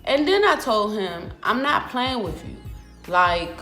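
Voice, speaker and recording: A young American woman vlogs in a casual storytelling style, with a mid-pitched voice. She is sassy and emphatic, driving her points home with animated delivery. The room adds noticeable echo, and music plays underneath. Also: unhurried. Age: 20s. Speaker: vlogger. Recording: roomy echo with music behind.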